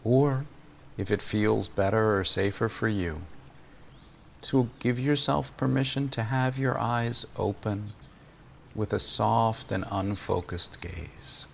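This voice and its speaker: A man leading a guided meditation as a teacher, speaking gently and soothingly, with long pauses between phrases. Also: fairly low pitch, gravelly, a little hiss or hum.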